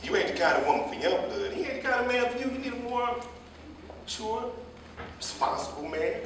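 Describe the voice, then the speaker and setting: An actor playing a meddling, moralizing older cab driver in a staged reading, with a folksy, preachy, rambling way of talking. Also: male voice, very high voice, lively intonation, brisk. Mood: preachy, nosy. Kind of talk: live staged play reading. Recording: echoey, distant stage recording with faint noise.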